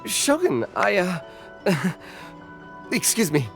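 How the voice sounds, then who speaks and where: A nervous, deferential stall vendor in a video game. Her lowish voice swings dramatically and haltingly, with music playing clearly behind. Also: sing-song, exaggerated voice. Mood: nervous, eager. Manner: stammering, nervous. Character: flustered, deferential female snack stall vendor. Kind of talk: video game character voice acting.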